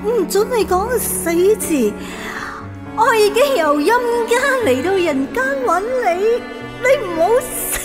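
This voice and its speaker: A woman's voice: very high-pitched, lively intonation, measured pace. An actress playing a glamorous female ghost pop idol in a Cantonese radio drama. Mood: melodramatic. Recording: background music under radio drama.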